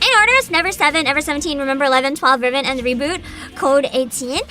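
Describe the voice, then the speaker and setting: A woman's voice: high-pitched, very fast, pushed and bright, soft background music. A woman hosting a livestream, chatting casually and reading bits aloud in a bubbly, enthusiastic manner. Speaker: virtual streamer host.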